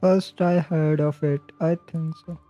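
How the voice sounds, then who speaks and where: A man's voice: fairly high pitch, steady tone, measured pace, dark tone. A man with an Indian accent chatting casually as he live-streams a game, on a dull microphone with game music behind him.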